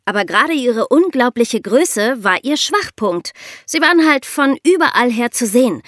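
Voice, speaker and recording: A woman's voice: a little high, big pitch swings, moderate pace, a bit bright, no room sound, studio quality. A young woman co-hosting a podcast, explaining and asking in a conversational tone. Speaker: podcast co-host.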